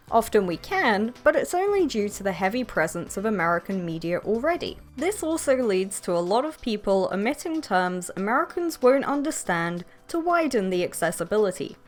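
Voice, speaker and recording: A British woman argues her case in a scripted commentary, pointed and exasperated. Her pitch moves a lot. Faint music plays behind her. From Britain. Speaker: video essayist.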